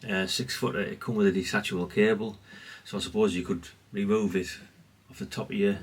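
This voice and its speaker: A man's voice: on the low side, steady tone, brisk, breathy edge, distant mic. A man giving a casual, rambling, understated show-and-tell, speaking off the cuff. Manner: rambling, self-effacing, understated. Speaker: hobbyist presenter.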